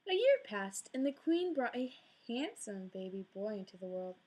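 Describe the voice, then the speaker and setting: A woman reads a fairy tale aloud as a storyteller, shaping each line with gentle drama. Her voice sits a touch higher than most women's. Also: very animated, moderate pace. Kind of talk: read-aloud storybook narration.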